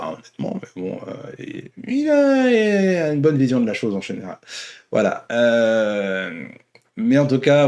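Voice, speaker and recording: A man's voice: on the low side, darkish tone. A man hosting an online vlog, talking off the cuff in a casual, rambling way, often repeating and rephrasing his words. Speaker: host of an online opinion vlog. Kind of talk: casual unscripted opinion monologue.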